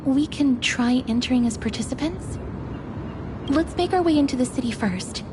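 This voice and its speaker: An actress playing a gentle, solemn young woman warrior in a video game, speaking in an earnest, measured manner. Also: sits high, background noise, lightly acted. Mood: resolute.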